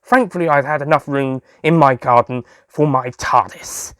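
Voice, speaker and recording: A man's voice: medium pitch, moderate pace, fairly bright tone, clear, resonant tone, no room sound, studio quality, lightly acted. A man playing a jolly, sentimental male sweet-shop owner who tells his own story in a fond, theatrical manner for an audio drama. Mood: chatty, reminiscing.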